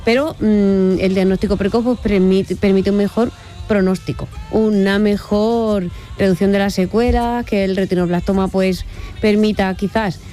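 A woman's voice: medium pitch, steady tone, moderate pace, warm tone, soft background music. A woman interviewed on the radio, explaining a medical topic with hesitant fillers. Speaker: association vice-president.

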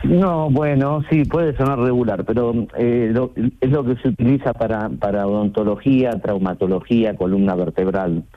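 Phone-in guest: male, middle-aged, thin telephone sound, conversational, halting and repetitive delivery. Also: medium pitch. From Argentina. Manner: halting, repeats words and phrases. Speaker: radio call-in guest, sales representative. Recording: tinny phone line into radio show.

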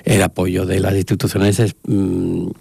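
A man's voice: low voice, little pitch movement, measured pace, creaky, warm tone, husky, no echo. A man from Spain, a foundation director, answering in a radio interview in a hesitant way with many fillers and restarts.

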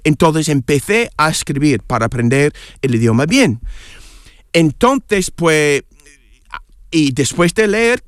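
A man's voice: high voice, smooth, no room sound, studio quality. A middle-aged man, an English native, speaking Spanish with a foreign accent as a radio guest, hesitant and searching for words. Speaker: guest, columnist. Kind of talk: radio interview chat.

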